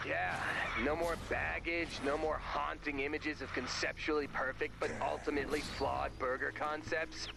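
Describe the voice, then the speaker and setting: An actor playing an absent-minded, melodramatic goofball in a video game, gravelly and given to comic musing. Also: male voice, fairly high pitch, flat delivery, moderate pace, few pauses. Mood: wistful, goofy. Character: hungry, absent-minded, melodramatic goofball man in a post-apocalypse. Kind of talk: animated video game voice acting. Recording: background ambient noise.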